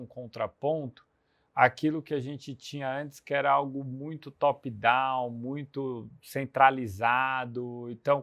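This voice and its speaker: A man hosting a business podcast in a lively conversational tone. His voice is of middling pitch for a man. He leads the talk with open questions and quick asides. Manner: energetic, conversational, leading questions. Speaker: podcast host. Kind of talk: podcast interview.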